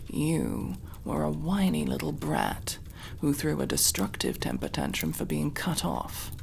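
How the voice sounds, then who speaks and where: A woman playing a mocking, menacing elf interrogator in an ASMR roleplay, gravelly, drawing out taunts and dropping into breathy whispers. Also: sits low, darkish tone, a little hiss or hum, exaggerated voice. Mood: scornful, probing.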